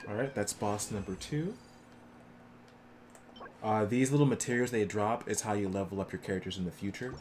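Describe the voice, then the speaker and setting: A man's voice: fairly low pitch, normal speed, pauses often, background noise. A man doing casual live commentary as a game streamer, explaining things in an easygoing way.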